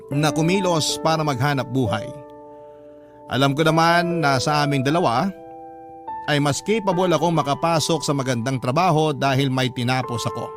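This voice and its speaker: Guy telling a radio drama story, higher voice, emotional and confiding, music under it. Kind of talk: dramatic first-person radio drama narration.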